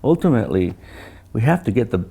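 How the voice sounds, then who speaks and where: An older man who designs loudspeakers, talking casually about technical matters and often repeating words as he recounts things. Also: mid-range voice, big pitch swings, creaky, breathy edge. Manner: halting, repeats words, anecdotal.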